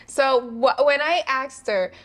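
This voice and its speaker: A woman's voice: high-pitched, slow pace, a bit bright. A woman hosting an interview show, upbeat and encouraging, often affirming her guest. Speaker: show host. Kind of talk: interview conversation.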